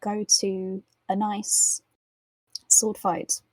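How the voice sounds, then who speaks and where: A woman playing a tabletop role-playing game, talking casually in measured, thoughtful phrases. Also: mid-range voice, monotone, dark tone, no echo, rough recording. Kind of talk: casual improvised game talk.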